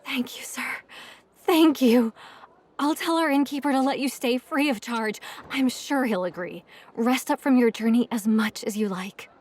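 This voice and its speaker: High-voiced, deferential village woman. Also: a little slow. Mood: flustered, pleading. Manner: apologetic, hesitant, eager to please. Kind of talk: audio drama acting.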